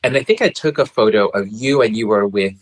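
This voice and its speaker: A polite young man from Brunei with a Canadian-tinged English accent, chatting as a podcast guest over a remote call, soft-spoken and hesitant with frequent restarts. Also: fairly low pitch, brisk. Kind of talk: casual chat.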